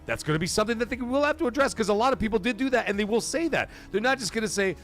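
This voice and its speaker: A man hosting a casual live commentary stream, talking to his chat in an animated, profane way full of rhetorical questions. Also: sits high, racing, soft background music. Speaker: livestream host.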